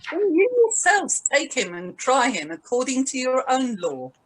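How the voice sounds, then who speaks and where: Lady as roman governor in a bible reading, pointed questions, highish voice that swings around a lot. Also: wildly swooping pitch, unhurried, husky, full character voice, poor audio. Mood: demanding. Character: Pontius Pilate, questioning Roman governor, an authoritative man.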